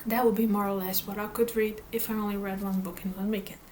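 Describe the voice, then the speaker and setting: A woman's voice: mid-range voice, level tone, fast talker, a little mellow, a little hiss or hum. A woman whose first language is Portuguese, chatting casually to camera about her reading plans, hesitant, with many fillers and restarts. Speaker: book vlogger.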